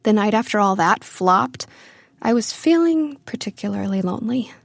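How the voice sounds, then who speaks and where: A woman's voice: medium pitch, lively intonation, moderate pace, creaky, dry recording. An actress playing a wistful, wry young woman far from her family, telling her story conversationally in an audio drama monologue. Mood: wry, lonely. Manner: conversational, confiding.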